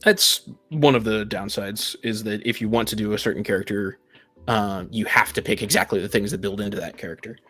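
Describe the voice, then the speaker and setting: Guy debating on a podcast, kinda halting, repeats himself while working out his point, music underneath. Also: fairly low pitch, pushed and bright. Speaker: podcast panelist. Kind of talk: casual debate.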